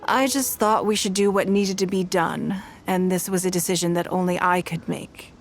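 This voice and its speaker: A woman voices a wealthy, composed lady of high standing in a game scene. Her voice sits fairly low for a woman and her phrasing is formal and measured. She carries a quiet grief beneath her poise, with soft music playing behind her. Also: steady tone, close to an everyday voice. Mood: candid, reflective. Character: composed, wealthy noblewoman leader, quietly grieving, confiding. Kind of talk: scripted video game dialogue.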